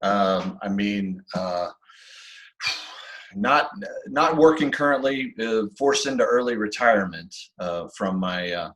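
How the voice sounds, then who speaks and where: A man's voice: on the low side, expressive, fairly bright tone. Dude playing a shifty ex-teacher in his 50s, mumbles, lots of uh's. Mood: evasive. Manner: mumbling, evasive, halting with many "uh"s. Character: 55-year-old male ex-sixth-grade teacher, defensive. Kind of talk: improv comedy sketch.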